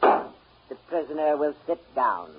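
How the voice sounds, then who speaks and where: An actor playing a stern, impatient judge with a pompous, curt official manner in a 1930s radio drama. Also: male voice, on the high side, big pitch swings, slow pace, a little hiss or hum. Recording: dull 1930s radio recording.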